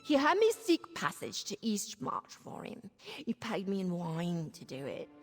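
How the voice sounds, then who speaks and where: A woman's voice: mid-range voice, wildly swooping pitch, a little slow, faint music behind, heavily acted. An actress playing a drunken female squatter in a fantasy video game, rambling, stumbling over words and lying badly. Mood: tipsy, muddled. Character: tipsy, rambling female squatter, chatty shameless bad liar. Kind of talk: video game character dialogue.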